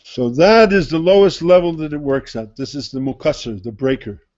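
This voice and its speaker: A man delivers a loose, conversational sermon, pitched a little high for a man but with a soft, mellow tone. He asks rhetorical questions as he teaches. The recording sounds dull and lo-fi. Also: moderate pace. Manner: conversational, rhetorical questions, mimics others' attitudes. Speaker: Sufi sheikh teaching. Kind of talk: informal spoken sermon.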